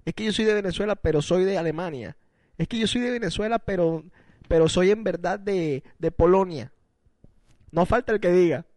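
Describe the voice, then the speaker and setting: A man's voice: sits high, moderate pace, a little mellow, no echo. Teasing man hosting a radio show. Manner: teasing, playful, chatty with restarts. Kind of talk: casual joking radio banter.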